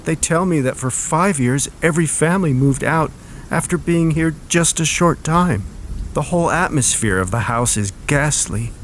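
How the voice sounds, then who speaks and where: A man's voice: fairly high pitch, a little hiss or hum, voice pushed up, natural voice. A man voicing a frightened young girl, anxious and pleading, in a dramatic horror story reading.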